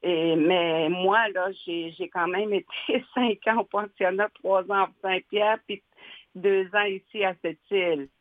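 A woman's voice: medium pitch, fairly even intonation, dry recording. Older woman on a phone line. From Nutashquan, Quebec. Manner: halting, many fillers and restarts, laughs often. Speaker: guest, educational advisor. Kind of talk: radio interview. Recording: dry phone-line interview.

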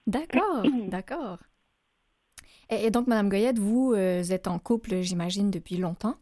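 A woman hosting a radio interview show, speaking gently with many hesitations. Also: mid-range voice, a little slow, dry recording, clean studio sound.

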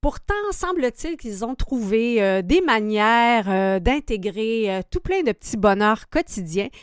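A woman's voice: fairly high pitch, animated, unhurried, smooth, dry recording, clean studio sound. A woman radio host, interviewing a guest with frequent hesitant fillers.

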